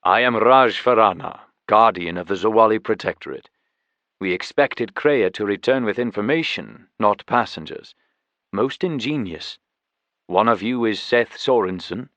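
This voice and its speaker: A man's voice: on the low side, expressive, moderate pace, a bit bright, no room sound, highs cut off. A man voicing an immense tiger guardian in an audiobook, formal, dignified and courteous. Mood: stately welcome. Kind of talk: audiobook character voice.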